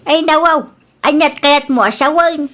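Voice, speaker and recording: A woman's voice: high voice, measured pace, a bit bright. An actress with an Ilocano Filipino accent playing a defensive, lovestruck middle-aged mother in an audio drama. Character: defensive lovestruck mother duped by young boyfriend.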